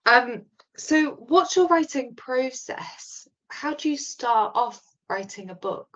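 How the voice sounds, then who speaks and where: A woman's voice: high-pitched, animated, slow pace, fairly bright tone, highs cut off. A woman hosting an interview, asking questions in an enthusiastic, encouraging way. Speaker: interviewer host.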